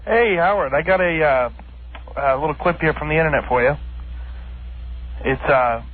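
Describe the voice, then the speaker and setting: A man's voice: on the high side, expressive. Nervous male caller, tinny phone line. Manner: halting, nervous. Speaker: radio show caller. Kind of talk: casual phone-in talk.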